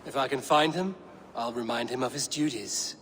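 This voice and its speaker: A man playing a blunt, steely Viking warrior in a game cutscene, with measured, terse, formal lines. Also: medium pitch, fairly bright tone, in a large hall, a little hiss or hum, lightly acted. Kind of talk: dramatic game cutscene acting.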